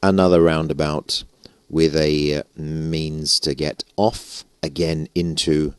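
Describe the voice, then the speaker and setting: Super deep hoarse british guy rambling over a game, gravelly, dry close mic. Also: little pitch movement, slow pace, no echo. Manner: rambling, self-mocking, chuckling. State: hoarse, gravelly voice after losing it. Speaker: gaming YouTuber. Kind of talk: casual let's-play commentary. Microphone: stage vocal mic close up.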